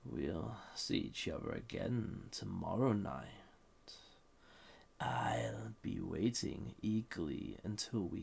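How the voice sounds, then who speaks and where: A man voicing a suave, flirty and dangerous banished villain in an audio roleplay, with a husky, breathy voice and a formal, softly condescending manner. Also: heavily acted. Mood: wistful, tender. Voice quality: deep, gravelly, husky, breathy. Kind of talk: scripted roleplay audio drama.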